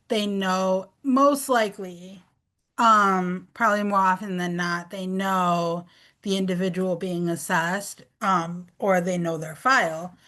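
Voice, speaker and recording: A woman's voice: medium pitch, fairly bright tone. Informal woman raising a concern. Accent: American. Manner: informal, filler-heavy questioning. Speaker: advisory council member. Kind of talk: meeting discussion.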